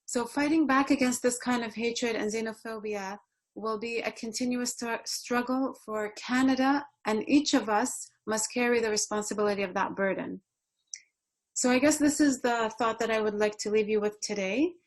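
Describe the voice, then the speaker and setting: Female presenter, slightly high voice, measured and earnest delivery of a prepared speech. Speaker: presenter at a community panel.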